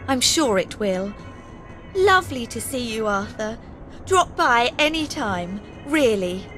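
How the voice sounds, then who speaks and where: A woman's voice: a little high, big pitch swings, takes it slowly, fairly bright tone, soft background music, exaggerated voice. An actress playing a polite woman offering social pleasantries in a video game. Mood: strained politeness.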